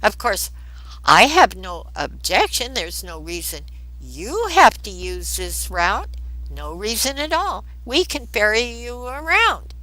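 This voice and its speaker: An accommodating male technician played by a woman reader in a storybook reading. He sounds plain and obliging, faintly worried, with a bright tone and unhurried pace. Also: mid-range voice, very animated, dry recording, pitched above the performer's own voice, exaggerated voice, rough recording.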